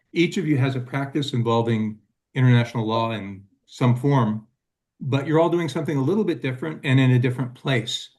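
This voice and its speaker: A man moderating a panel, speaking off the cuff in a halting, courteous manner. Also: mid-range voice, a little mellow. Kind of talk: unscripted panel introduction.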